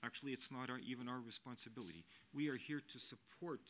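An older man speaks earnestly into a desk microphone at a panel, his voice bright and forceful. He picks his words with care and often restarts mid-sentence. A faint hum of the hall sits behind him. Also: medium pitch. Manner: measured, earnest, with frequent restarts and fillers. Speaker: international mission official on a panel. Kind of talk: unscripted panel remarks. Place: press center hall.

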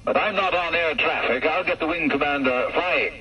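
A man's voice: a little high, normal speed, bright, forceful tone, slightly rough, pitched above the performer's own voice, full character voice. A man voicing curt male RAF officers heard over a telephone line in a radio story, speaking in a clipped, officious way. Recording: muffled distant phone-line sound.

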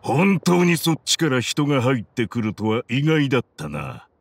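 An actor playing a proud, resolute middle-aged desert militia leader in a game, with a grave, dramatic, defiant delivery. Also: male voice, medium pitch, sing-song, normal speed, husky, no echo. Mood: grave, resigned. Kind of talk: Japanese game voice acting.

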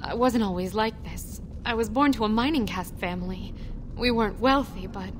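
A woman's voice: mid-range voice, moderate pace, background noise, lightly acted. An actress voicing a poor dwarf mother who begs for coin for her sick son, in a pleading, desperate way. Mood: sorrowful. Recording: game audio with reverb and music.

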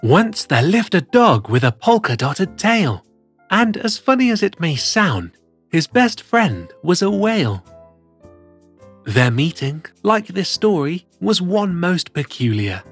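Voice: mid-range voice, sing-song, slow, breathy edge, soft background music, heavily acted. A performer voicing a cheerful plush cat who reads picture books to children in a theatrical, sing-song way. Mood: storytelling. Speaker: storyteller host. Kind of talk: expressive children's picture-book read-aloud.

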